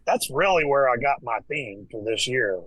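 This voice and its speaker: A man chats as a guest in a loose, unhurried way, stumbling through fillers and restarts. His voice sits at an everyday middle pitch, and the recording is dry, with no room sound. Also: slightly rough, no echo, poor audio. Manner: relaxed, hesitant, with many fillers and restarts. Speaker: podcast guest, Freemason. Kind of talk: casual conversation.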